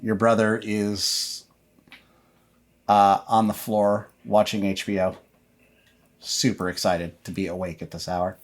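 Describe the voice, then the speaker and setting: A man working as game master, narrating a tabletop roleplaying game with halting, improvised delivery. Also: on the low side, little pitch movement.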